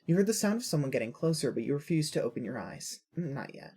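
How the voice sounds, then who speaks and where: Woman, low husky voice, scripted story narration, audio fiction narrator. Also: big pitch swings, quick pace, warm tone.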